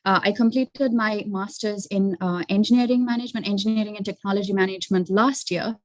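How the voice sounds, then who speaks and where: A young woman from Sri Lanka introducing herself on an online panel, halting, with frequent fillers. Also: mid-range voice, fairly even intonation, no echo, low-quality recording. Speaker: alumna panelist.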